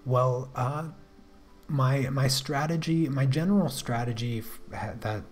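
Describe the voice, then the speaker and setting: A soft-spoken man chatting casually on a drawing livestream, hesitant, with frequent restarts and fillers. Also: medium pitch, measured pace, faint music behind. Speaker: artist livestreaming while drawing. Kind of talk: casual livestream chat answering viewers.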